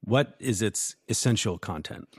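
A man hosting a classical music radio show and playing piano, speaking in a measured, encouraging way. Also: fairly low pitch, creaky, husky, no room sound. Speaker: radio show host and pianist. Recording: dry studio sound.

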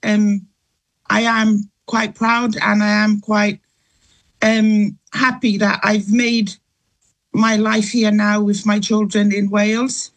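A middle-aged woman from Niue speaking in an interview, unhurried, with frequent pauses and ums. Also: medium pitch, level tone. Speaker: interview guest, mother. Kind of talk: casual radio interview.

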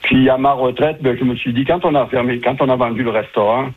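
A husky-voiced man in his seventies, from southern France, speaks over a muffled phone line. He is a retired chef giving casual, chatty interview answers in colloquial language, telling stories as he goes. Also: medium pitch, fairly even intonation, brisk. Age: 70s. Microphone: telephone.

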